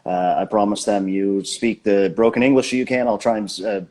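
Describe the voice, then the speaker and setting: A man hosting a casual live chat about baseball, hesitant and self-deprecating, with many pauses and restarts. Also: lowish voice, a little mellow. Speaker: baseball channel host.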